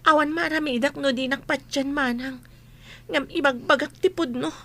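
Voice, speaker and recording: A woman's voice: sits high, steady tone, moderate pace, bright, forceful tone. A woman playing a wronged, defiant young sister, pleading but firm, in a radio drama with an Ilocano accent. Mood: hurt, insistent.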